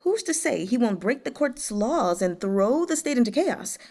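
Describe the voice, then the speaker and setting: An envious male eunuch of the imperial court, played by a woman reader, pitched high. He complains in a plaintive, deferential way, always fretting, like a character in an audiobook period novel. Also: very animated, normal speed, dark tone. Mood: anxious, wary. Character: fretful, envious male palace eunuch official.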